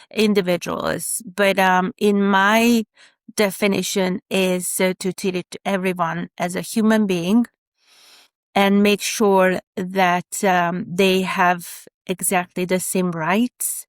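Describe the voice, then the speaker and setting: A woman's voice: medium pitch, clean tone, dry recording, clean studio sound. A woman in her fifties from Hungary with a Hungarian accent, a special educator speaking as a podcast guest with many fillers and restarts. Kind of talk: podcast interview.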